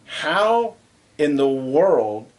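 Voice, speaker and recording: A man co-hosting a casual sports talk show, debating his co-host and getting louder and higher when he is indignant. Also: sing-song, drawn-out words, low-quality recording.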